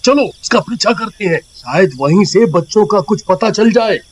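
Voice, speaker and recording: A man voices a protective village husband in a cartoon story. He has a somewhat high voice and gives urgent, determined orders. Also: lively intonation, moderate pace, slight background noise.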